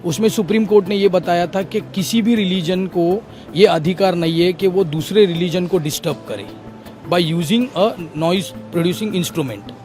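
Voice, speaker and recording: A man's voice: high voice, unhurried, a little mellow, soft background music. A man, a lawyer, answering a news interviewer, laying out legal points step by step with English legal phrases mixed in. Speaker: lawyer for the petitioners.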